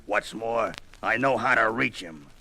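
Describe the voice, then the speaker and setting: An actor playing a gruff, wisecracking brawler of a superhero on a 1970s record, telling his story in sardonic tough-guy slang. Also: lowish voice, a little slow, heavy background noise. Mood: musing, determined.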